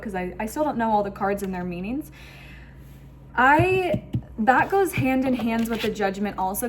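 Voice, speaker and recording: A woman's voice: medium pitch, a little hiss or hum. A woman giving a spiritual tarot reading to viewers, speaking in an effusive, emphatic way and often repeating phrases. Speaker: tarot reader hosting an online reading.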